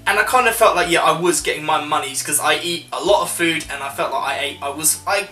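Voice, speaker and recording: A man's voice: fairly high pitch, fast, bright, forceful tone. A man giving a chatty, conversational voice-over review as a vlogger, working through his points informally. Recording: roomy echo, music underneath.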